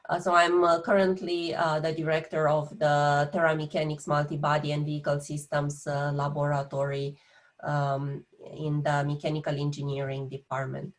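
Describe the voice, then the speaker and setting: Hesitant Romanian-accented woman professor, low flat voice. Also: normal speed. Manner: hesitant, many filler sounds. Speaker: engineering professor. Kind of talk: presentation on video call.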